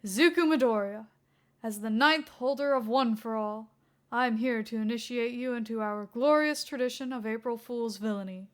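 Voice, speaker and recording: A woman's voice: on the high side, normal speed, lightly acted. A woman voicing a mischievous middle-aged male superhero who plays the gleeful villain, boastful and theatrical in an audiobook-style story. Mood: grand, coaxing.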